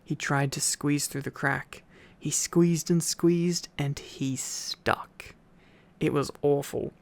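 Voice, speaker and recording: A man's voice: fairly high pitch, fairly even intonation, takes it slowly, vocal fry. A sleepy man doing an intimate ASMR boyfriend-style reading, tired and affectionate, with playful asides to his listener. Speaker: ASMR boyfriend roleplay storyteller.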